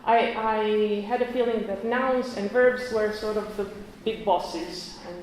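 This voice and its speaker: A woman's voice: medium pitch, fairly even intonation, slow pace, darkish tone, slight background noise. Woman lecturing in a very echoey hall. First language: Croatian. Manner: chatty, self-correcting, with frequent restarts and small laughs. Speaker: conference lecturer. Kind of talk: academic conference talk.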